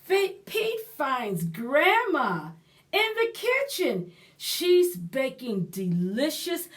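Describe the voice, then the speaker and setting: A woman reading a picture book aloud to young children as a library storyteller, expressive and pausing to explain things and prompt her listeners. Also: high voice.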